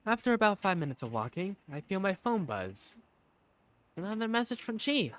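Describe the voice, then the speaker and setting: A woman's voice: mid-range voice, sing-song, moderate pace, natural voice. A woman voicing an easygoing young male bar waiter who narrates his day in a visual novel fan dub. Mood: easygoing, wry.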